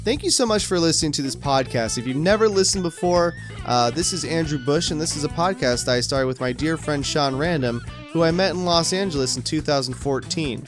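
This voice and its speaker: A man hosting a podcast, giving a casual, friendly introduction with a few pauses. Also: a little high. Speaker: podcast host. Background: plucked guitar music.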